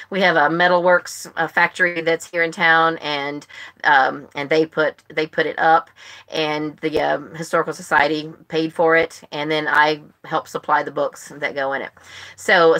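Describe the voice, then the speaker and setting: A woman's voice: low-pitched, bright, forceful tone. An American woman hosting a book club live stream, chatting casually in a rambling way. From United States. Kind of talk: casual chat. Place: live stream, speaking to viewers online.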